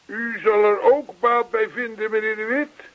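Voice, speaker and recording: A man's voice: high voice, steady tone, unhurried, no room sound. A man playing an earnest, chatty older neighbour in a radio play, persistent, reassuring and long-winded. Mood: eager, persistent. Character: earnest, chatty older male neighbour zealous about yoga. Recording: thin, phone-like old radio play recording.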